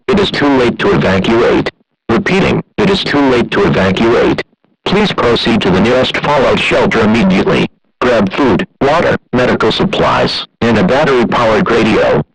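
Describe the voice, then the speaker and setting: A man's voice: mid-range voice, low-quality recording. A man reading a scripted emergency alert in a formal, impersonal manner.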